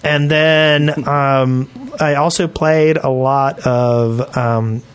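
A man hosting a gaming podcast, telling stories casually in an animated, enthusiastic way with slangy phrasing. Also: mid-range voice, extremely slow, clear, resonant tone. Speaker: podcast host.

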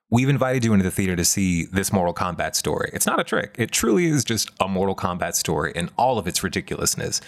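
A man talking casually and emphatically about pop culture, in a talkative, animated way. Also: deep voice, creaky. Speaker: film discussion panelist.

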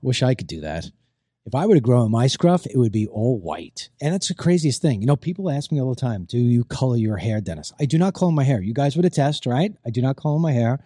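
Middle-aged guy hosting, voice kinda strained, rambly chat, warm low tone, dead dry studio sound. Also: very animated, fast, breathy edge, no room sound. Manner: chatty, rambling, repeats himself. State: strained voice, recovering from voice loss. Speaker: host. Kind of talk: casual talk show chat.

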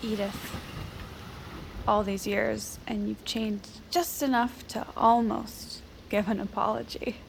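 An actress playing a blunt, quirky old confidante in an audio drama, deadpan with rambling odd humor. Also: female voice, medium pitch, slow, creaky, slight background noise. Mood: frank, regretful. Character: dry, quirky, frank old confidante of a First Lady. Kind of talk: audio fiction drama dialogue.

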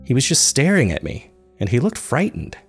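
A man reading scripted first-person dramatic narration in a measured storytelling manner. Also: mid-range voice, huge pitch swings, faint music behind. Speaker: narrator of a serial fiction podcast.

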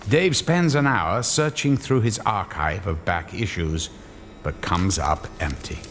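An actor playing the narrator of a horror radio tale, telling it in a theatrical, measured way. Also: male voice, low-pitched, fairly bright tone, a little hiss or hum. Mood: ominous, wry. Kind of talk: scripted dramatic narration. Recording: long hall reverb, faint music.

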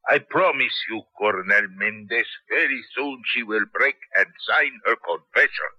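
Cold taunting prison captain, old radio play, very bright hard voice, dry sound. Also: male voice, lowish voice, lively intonation, normal speed, no room sound, lo-fi sound, exaggerated voice. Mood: deferential, assuring. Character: menacing male prison captain, coldly taunting, deferential to superiors. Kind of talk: 1970s radio drama acting.

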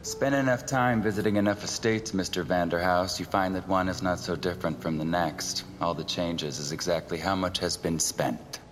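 An actor playing a blunt, irritable, wisecracking visitor in an audio drama, sardonic and impatient. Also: male voice, low-pitched, moderate pace, hardly any pauses, slight background noise, natural voice. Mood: dry, unimpressed. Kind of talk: naturalistic audio drama acting. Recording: rain and ambience behind the voice.

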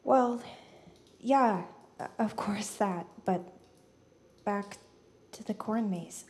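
A woman playing an awkward, scared, sarcastic American teenage girl in an audio drama, speaking in a halting, self-deprecating way. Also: mid-range voice, a little mellow, natural voice. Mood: tender, subdued. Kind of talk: naturalistic audio drama acting.

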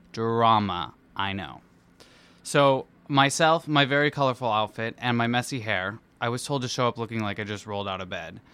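A man with a smooth, clear voice at a medium pitch reads his own essay aloud. His delivery is theatrical and playful, with a self-deprecating streak, like a performer telling his own story. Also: a bit bright. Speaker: musical theatre performer and dance captain. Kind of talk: personal essay read aloud.

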